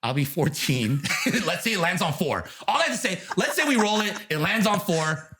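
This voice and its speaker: A man's voice: normal speed, breathy edge. A man in his thirties co-hosting a podcast, chatting casually and rambling, laughing often. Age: 30s. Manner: rambling, laughs often, lively swings in pitch. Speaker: podcast co-host. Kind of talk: casual chat.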